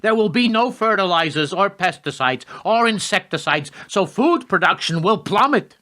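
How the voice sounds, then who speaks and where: A man's voice: high-pitched, expressive, moderate pace, sharp tone. A male actor playing a cold, calculating presidential adviser in a radio play, speaking formally and insistently.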